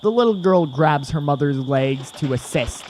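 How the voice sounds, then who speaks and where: A man's voice: a little high, animated, normal speed. Male audio drama narrator, faintly noisy.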